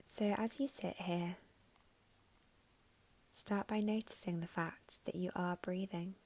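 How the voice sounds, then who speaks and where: A woman with a fairly low voice and a noticeable creak leads a guided meditation. She speaks softly and soothingly, taking her time with long pauses. Her phrases turn breathy and trail toward a whisper. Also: steady tone.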